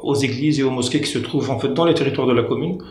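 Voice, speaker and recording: A man's voice: medium pitch, very fast. A man and local election candidate speaking on a political panel, hesitant, with many fillers and restarts. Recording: echoey studio with faint noise.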